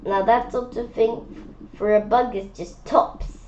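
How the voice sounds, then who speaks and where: A woman's voice: fairly high pitch, fairly even intonation, takes it slowly, darkish tone, lo-fi sound, a little hiss or hum. A woman reading a rhyming picture book aloud informally, often stumbling over words and repeating phrases. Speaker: children's book read-aloud reader.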